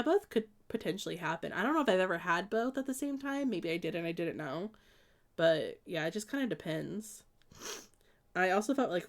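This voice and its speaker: A young woman from the Midwest, stuffed up with allergies, chatting casually with viewers on a live stream and rambling with lots of ums and likes. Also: on the low side. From American Midwest.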